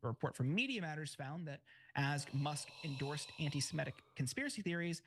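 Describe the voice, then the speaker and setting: A man giving news commentary in a measured, matter-of-fact way. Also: a little high, animated, slight background noise.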